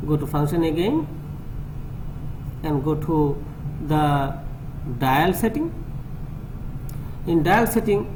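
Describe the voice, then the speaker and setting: A man's voice: fairly high pitch, lively intonation. Bangladeshi man demonstrating, noisy recording. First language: Bengali. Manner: halting, repetitive step-by-step explaining. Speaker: tutorial presenter. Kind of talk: hands-on technical demonstration. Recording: clearly noisy room recording.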